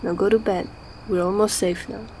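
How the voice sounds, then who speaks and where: A woman's voice: medium pitch, steady tone, normal speed, close to an everyday voice, low-quality recording. A woman playing a pragmatic, protective mother fleeing war, speaking seriously in an amateur radio drama. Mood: serious, reassuring. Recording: faint night insect ambience behind.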